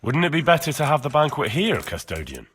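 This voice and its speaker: A man's voice: fairly high pitch, big pitch swings, a little fast, fairly bright tone, breathy edge, full character voice. A young man playing an earnest, stubborn and cheeky smith's son in a video game, plain-spoken and defiant. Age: young adult. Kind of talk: video game cutscene acting.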